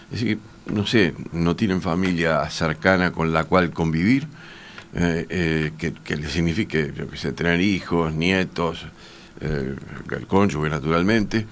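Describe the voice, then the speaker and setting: A man's voice: sits low, a bit bright, a little hiss or hum. A Uruguayan man, a university teacher, speaking in a panel discussion with frequent hesitations and restarts. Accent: Uruguayan Spanish. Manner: hesitant, many fillers and restarts.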